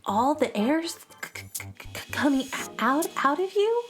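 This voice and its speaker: A woman's voice: high voice, big pitch swings, a little slow, soft background music. A woman playing a shy, sweet little alien girl made of sludge, timid and stuttering, in a children's radio drama. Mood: worried. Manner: hesitant, timid, innocent.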